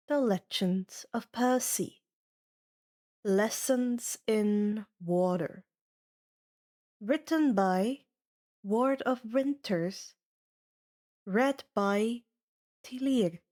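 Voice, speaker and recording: A woman hosting and narrating a fan fiction reading in a scripted, audiobook style. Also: a little high. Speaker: fan fiction reader and channel host.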